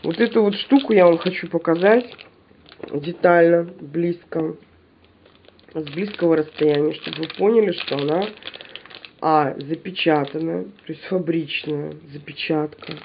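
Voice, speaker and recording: A woman's voice: deep voice, unhurried, gravelly, highs cut off, a little hiss or hum. A woman with a stuffy nose from a cold, chatting casually to her viewers as she shows off a shopping haul at home. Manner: chatty, animated, addresses viewers directly.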